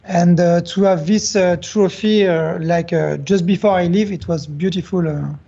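A man with a French accent, a pastry chef speaking as a radio guest in halting English full of fillers. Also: sits high, steady tone, gravelly, warm tone, muffled recording. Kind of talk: radio interview.

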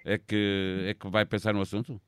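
A journalist hosts a news podcast in a smooth, clear, lowish voice. He reads a scripted introduction, then asks his questions with a few halting fillers. The sound is dry and close. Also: level tone, a bit bright, no room sound.

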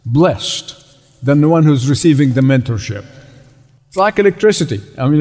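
A man from Egypt preaching a sermon, emphatic, repeating key phrases and slowing down to stress words. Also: fairly high pitch, a little mellow. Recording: long reverb of a large hall.

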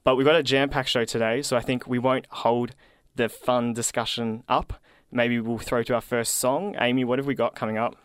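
A man in his twenties with an Australian accent hosting a community radio show in a studio, upbeat and quick. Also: medium pitch, fairly even intonation, a bit bright, clean tone, no echo. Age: 20s. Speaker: radio host.

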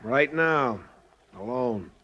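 An actor playing a tough, sneering, threatening truck driver in a 1940s radio crime drama. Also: male voice, medium pitch, animated, extremely slow, pushed and bright, lo-fi sound, full character voice. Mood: gruff dismissive. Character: tough, menacing male truck driver with wrench.

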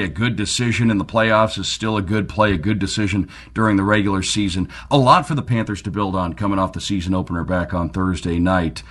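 Man, lower-pitched voice, sports radio host, commentary delivery. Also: a bit bright.

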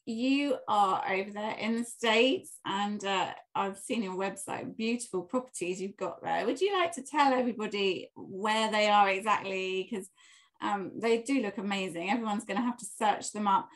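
A woman's voice: mid-range voice, moderate pace, rough recording. Upbeat woman hosting a podcast. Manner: upbeat and welcoming. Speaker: podcast host. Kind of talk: podcast interview.

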